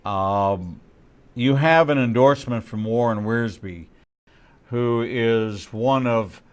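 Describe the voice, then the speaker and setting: A man hosting an interview, asking questions slowly and haltingly, repeating words as he searches for them. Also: mid-range voice, smooth. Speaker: rabbi host.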